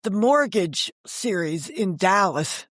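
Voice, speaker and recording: A woman's voice: medium pitch, expressive, slow, no room sound, clean studio sound. An older woman talking as an interview guest, telling stories conversationally and correcting herself as she explains. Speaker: guest, author and editor.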